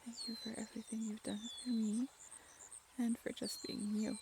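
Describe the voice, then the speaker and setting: A woman's voice: a little high, flat delivery, moderate pace, background noise, lightly acted. A woman playing an affectionate, grateful birthday celebrant and werewolf's partner in an intimate roleplay audio drama. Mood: reading tenderly. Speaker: voice actor.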